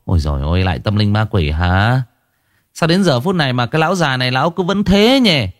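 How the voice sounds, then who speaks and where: A man's voice: medium pitch, very animated, fairly quick, voice pushed up, natural voice. A man voicing a scornful villager who mocks talk of ghosts. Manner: mocking and dismissive.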